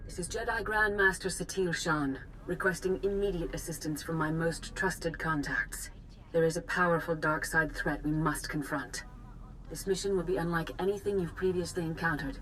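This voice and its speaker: A woman's voice: lowish voice, moderate pace, a bit bright, slight background noise, close to an everyday voice, poor audio. A woman playing a grave Jedi grand master in a video game, formal, composed and authoritative. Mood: grave authority.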